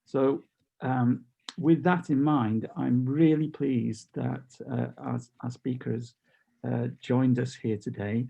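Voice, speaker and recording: A middle-aged man hosting an online seminar from a home study over a video call, relaxed and chatty as he introduces the speaker. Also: medium pitch, slow, vocal fry, husky. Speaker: seminar host.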